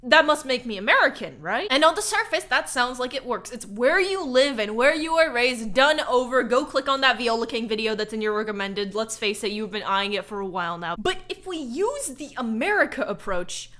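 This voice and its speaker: A woman's voice: on the high side, expressive, normal speed, hardly any pauses, sharp tone. A young woman born in Korea and raised in Hawaii, narrating a scripted video essay with sarcastic, profane asides.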